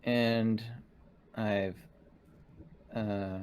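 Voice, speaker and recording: A man voicing a polite, dutiful female android who serves a ship's crew in a tabletop game, speaking in a formal, measured, literal way. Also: lowish voice, flat delivery, a little mellow, slight background noise. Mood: courteous, matter-of-fact.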